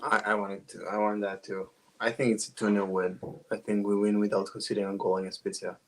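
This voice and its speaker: A man's voice: on the low side, little pitch movement, fairly quick. A man hosting a football podcast in an easygoing way, asking questions and wrapping up the show. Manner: easygoing, hosts and wraps up. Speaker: podcast host. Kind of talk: casual football chat.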